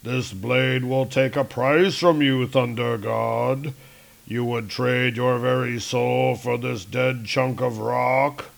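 Man reading a slow, ominous ancient world-eater, gravelly, drawn out. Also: medium pitch, steady tone.

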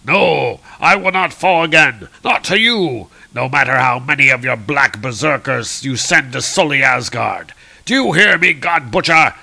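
A man's voice: medium pitch, normal speed, bright, forceful tone. A man voicing a proud, grave warrior god of thunder with declamatory, heroic speech for a comic-book story. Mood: defiant.

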